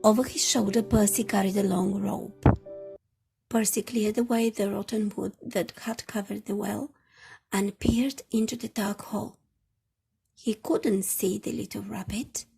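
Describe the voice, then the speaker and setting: A woman reading a children's picture book aloud as an expressive storyteller. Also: mid-range voice, unhurried, gravelly, faint music behind.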